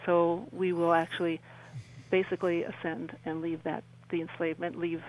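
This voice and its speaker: An older woman, around her sixties, talking as an interview guest in a halting, rambling way and often rephrasing mid-sentence. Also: fairly low pitch, level tone, a little mellow, a little hiss or hum, poor audio. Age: older, about 60s. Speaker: interview guest, UFO experiencer. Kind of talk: conversational radio interview storytelling.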